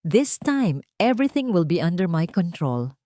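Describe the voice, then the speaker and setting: A woman narrator plays a cold, controlling billionaire man in a romance audio drama. He speaks in curt commands, in a fairly low register with a dry, close sound. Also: big pitch swings, normal speed, dark tone, dry recording. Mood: grim resolve.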